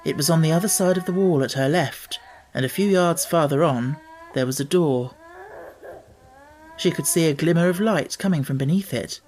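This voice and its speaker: A man reading an audiobook as narrator, telling the story in a measured, expressive way. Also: a little high, slight background noise, low-quality recording.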